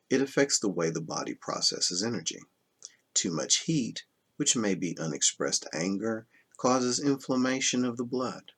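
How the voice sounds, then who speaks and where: A man reading a self-help book aloud as scripted narration, in a measured, instructional manner that stresses key terms. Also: low-pitched, gravelly, a bit bright, breathy edge. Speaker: audiobook reader.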